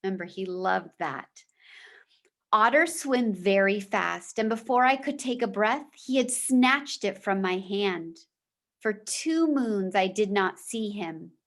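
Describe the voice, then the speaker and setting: A woman teacher reading a children's book aloud in a measured, deliberate storybook manner, with short explanatory asides. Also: medium pitch, expressive.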